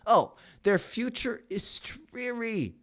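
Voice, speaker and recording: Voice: medium pitch, very animated, takes it slowly, a bit bright, no echo, pitched above the performer's own voice, exaggerated voice. A reader voicing a small, cranky male forest creature who scolds and pleads in rhyme. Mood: pleading.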